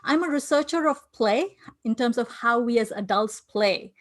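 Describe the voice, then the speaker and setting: A woman's voice: on the high side, expressive, normal speed. Indian-accented woman giving an online talk. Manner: earnest, with frequent fillers and restarts. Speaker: conference speaker. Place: home in New York City. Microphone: video call.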